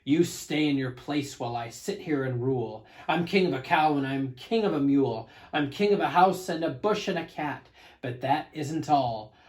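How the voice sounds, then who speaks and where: A man's voice: fairly high pitch, normal speed, darkish tone, lightly acted. A man voicing a boastful, tyrannical turtle king from a storybook, pompous, bellowing and domineering. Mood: angry, commanding.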